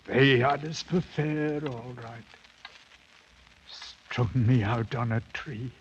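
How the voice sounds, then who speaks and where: An actor playing a half-mad British ex-soldier, a haunted survivor, halting and rambling, in 1950s radio drama style. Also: male voice, mid-range voice, steady tone, natural voice. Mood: pained, bitter. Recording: muffled, noisy 1950s radio broadcast.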